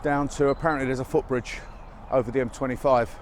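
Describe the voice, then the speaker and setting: A man's voice: medium pitch, fairly even intonation, fast. Guy vlogging on a country walk, chesty with a cold, bit puffed out, faint traffic hiss behind, chest mic. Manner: chatty, wry, a little out of breath. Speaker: walking vlogger. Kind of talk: casual walk-and-talk narration. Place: outdoors by a busy motorway in winter fields. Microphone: chest-worn mic.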